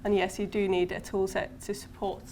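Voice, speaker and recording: A woman whose first language is Finnish, speaking as a podcast guest at a conference and pausing often with thoughtful ums. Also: mid-range voice, flat delivery, darkish tone, slight background noise. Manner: thoughtful, hesitant with frequent ums. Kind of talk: conversational podcast interview. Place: conference venue.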